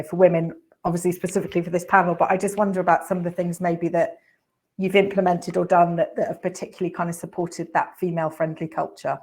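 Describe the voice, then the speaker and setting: Lower-voiced woman moderating a panel. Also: darkish tone. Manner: tentative questioning, with hedges like "I guess". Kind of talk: webinar panel discussion.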